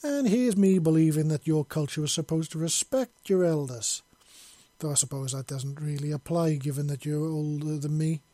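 A man's voice: on the high side, normal speed, warm tone, no echo, close to an everyday voice. A man voicing an older Scottish ex-soldier with a Scottish accent, blustering and swearing defiantly in a storybook reading. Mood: mocking. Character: older Scottish male ex-soldier, brash and profane.

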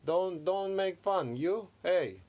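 Russian hustler guy, a man putting it on, deadpan and menacing, bit high. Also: slow pace. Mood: threatening. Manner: broken English, threatening, deadpan. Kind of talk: improvised comedy banter.

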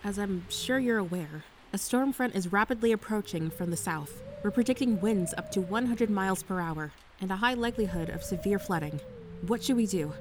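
A woman's voice: medium pitch, brisk, slight background noise, close to an everyday voice. An actress playing a grim, dutiful female officer giving a report in an audio drama. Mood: urgent.

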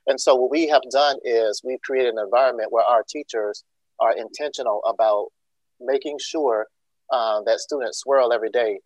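A man's voice: mid-range voice, moderate pace, no echo, rough recording. Earnest man, dry close sound. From Georgia, USA. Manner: many ums and uhs, restarts, earnest explaining. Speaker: school district leader. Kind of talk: interview answer.

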